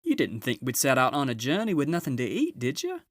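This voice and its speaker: A man's voice: mid-range voice, huge pitch swings, dry recording, pitched above the performer's own voice. A man voicing a bustling, unflappable, motherly female beaver in a storybook, with folksy, fussy talk. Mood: brisk, unhurried. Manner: folksy, coolly unhurried.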